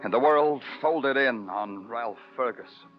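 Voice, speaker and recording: An actor playing a terse, world-weary New York police detective in a 1940s radio noir. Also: male voice, mid-range voice, measured pace, loud music behind the voice, natural voice. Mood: tense narration. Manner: terse, brooding, poetic narration. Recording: dull vintage radio recording.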